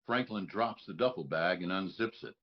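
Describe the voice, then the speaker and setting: A man with a husky voice reading stage directions as scripted narration at a live table read. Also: low-pitched, moderate pace, dull audio.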